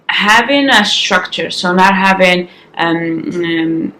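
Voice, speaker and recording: A woman in her thirties, slightly raspy, chatting casually to camera at home with many ums and restarts. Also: on the low side, slow pace, pushed and bright, echoey room, a little hiss or hum, rough recording. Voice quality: raspy in the morning. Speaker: vlogger. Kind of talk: casual vlog chat to camera. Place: sunlit living room at home, indoors. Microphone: compact camera on-board mic.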